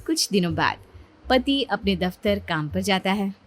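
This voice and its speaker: A woman's voice: mid-range voice, lively intonation, a little slow, faint music behind. A woman narrating a scripted story as its storyteller.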